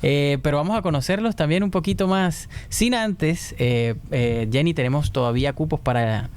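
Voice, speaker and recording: A man hosting a casual church talk show, chatting warmly with guests and hesitating often. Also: on the high side, moderate pace, clear, resonant tone. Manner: friendly, chatty, frequent hesitations. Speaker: host. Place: church studio.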